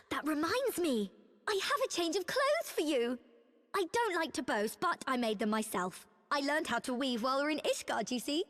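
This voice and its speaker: A woman's voice: high-pitched, big pitch swings, normal speed, a bit bright. An actress playing a sweet, perky little girl in a fantasy game, with a chirpy, eager manner. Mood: excited, proud. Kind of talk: scripted fantasy game acting. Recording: long hall-like reverb.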